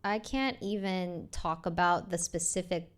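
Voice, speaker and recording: A young woman speaking softly and hesitantly, sharing her side of things on a live stream. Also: lowish voice, a little slow, clean tone. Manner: soft-spoken, hesitant. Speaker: streamer addressing her audience. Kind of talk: personal live statement.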